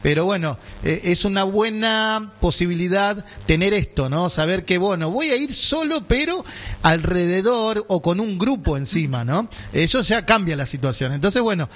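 A man's voice: sits high, lively intonation, normal speed, fairly bright tone. A man with an Argentine accent hosting a radio show, chatting casually with many fillers and tag questions. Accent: Argentine Spanish. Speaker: radio host.

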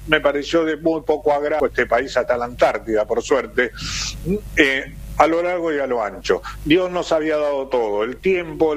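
A man in his seventies with an Argentine Spanish accent, hosting local radio, chatty and jokey, reading announcements and musing. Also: fairly high pitch. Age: 70s. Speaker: radio host.

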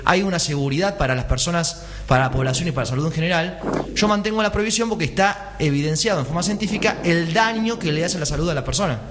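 A man's voice: on the high side, fast talker, noisy background. A man, a pharmacist giving a radio health column, explaining step by step and asking rhetorical questions.

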